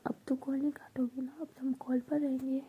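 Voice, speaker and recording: A woman's voice: high voice, flat delivery, fairly quick, breathy edge, phone-quality sound. A woman whispering the lines of a clingy, teasing young girlfriend. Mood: coaxing.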